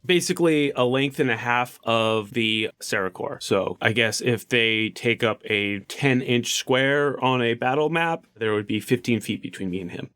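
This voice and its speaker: A man playing a tabletop game, casually and haltingly describing his character's actions as he pieces them together. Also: medium pitch, a bit bright, clear, resonant tone, no room sound.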